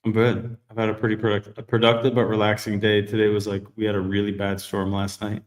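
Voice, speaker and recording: A man hosting a live men's group talk show, chatting in a relaxed, rambling way. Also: fairly low pitch, quick pace, reverberant. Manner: relaxed, rambling, self-correcting. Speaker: host. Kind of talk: casual live chat.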